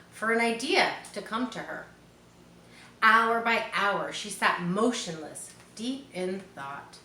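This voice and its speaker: A woman reads a children's story aloud at an unhurried pace. Her bright voice rises and falls with lots of expression, in an echoey room. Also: mid-range voice. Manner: expressive, explains asides to young listeners. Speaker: storybook reader. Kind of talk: children's read-aloud narration. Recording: roomy echo, faint background noise.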